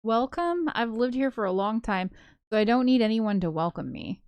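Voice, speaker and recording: A woman reading a visual novel aloud as a game co-host, expressive in the story and giggly in her casual asides. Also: on the high side, normal speed, clean tone, no room sound, muffled recording. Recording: music playing behind the voice. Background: game soundtrack music.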